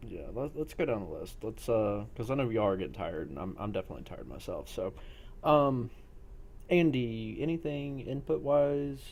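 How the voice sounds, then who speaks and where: A man's voice: medium pitch, lively intonation, moderate pace, darkish tone. A man speaking casually as he runs a post-game chat for a roleplay group. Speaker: game master of an online tabletop-style roleplay group.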